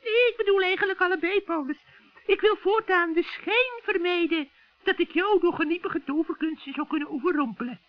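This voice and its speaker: A man voicing a scheming old witch in a children's radio play, shrill and cackling, with sugary, wheedling friendliness. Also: no room sound. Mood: sly, coaxing. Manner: wheedling, drawn-out coaxing. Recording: dull, dry vintage radio recording.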